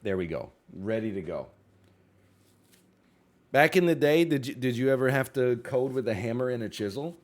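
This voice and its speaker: A man's voice: medium pitch, expressive, normal speed, pauses often. Congested young Canadian man rambling on stream. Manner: rambling, self-correcting, jokey asides. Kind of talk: casual chat.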